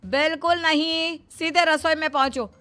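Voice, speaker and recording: A woman's voice: high-pitched, fairly even intonation, moderate pace, sharp tone, voice pushed up. A woman voicing a strict, sarcastic middle-aged mother-in-law in a cartoon story, scolding in an exasperated way. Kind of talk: Hindi cartoon story dialogue. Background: background music.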